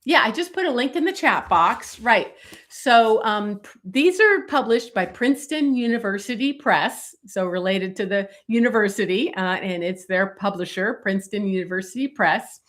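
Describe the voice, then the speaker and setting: A middle-aged woman illustrator chatting casually on a live stream, with many fillers and a voice going hoarse. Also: medium pitch, lively intonation, normal speed, fairly bright tone. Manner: many fillers, talks while showing pages. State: losing her voice, coughing.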